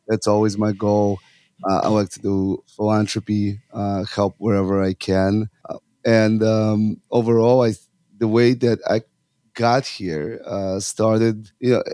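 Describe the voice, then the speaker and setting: A man's voice: lowish voice, level tone, measured pace, dark tone, clean tone, no room sound. A man from Russia, in his thirties, a youth hockey coach talking earnestly in an interview, with many fillers and restarts. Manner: earnest, talks in long runs with fillers and restarts. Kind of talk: casual interview talk.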